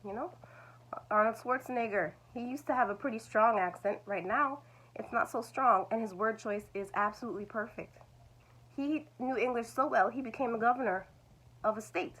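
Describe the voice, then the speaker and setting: A woman's voice: a little high, normal speed, a bit bright, husky, low-quality recording. A woman, teaching language learners in a reassuring, repetitive way. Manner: reassuring, repeats "it's okay". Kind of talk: talking-head video lesson.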